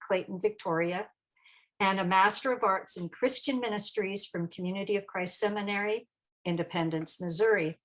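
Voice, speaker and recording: A woman's voice: medium pitch, fairly bright tone, low-quality recording. A woman with an ordinary mid-range voice introduces a guest speaker over a video call. She reads through his background, then moves into friendly, informal remarks. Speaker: meeting moderator introducing the speaker.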